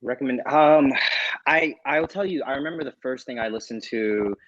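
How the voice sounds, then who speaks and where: A man's voice: medium pitch, moderate pace, lo-fi sound. A man talking casually as a panelist, sharing a personal recommendation. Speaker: panelist, podcast creator.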